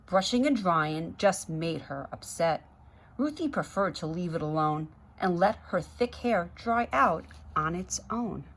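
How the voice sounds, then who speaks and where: A woman's voice: fairly low pitch, expressive, a little slow. A woman reading a rhyming children's story aloud expressively, with chatty asides to young listeners. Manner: rhythmic, dramatic, addressing children. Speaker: guest storybook reader.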